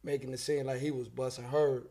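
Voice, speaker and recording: A man's voice: medium pitch, level tone, a little fast, crowd noise. A young man, a comedian guest, telling a story in a laid-back, slangy way. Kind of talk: casual storytelling.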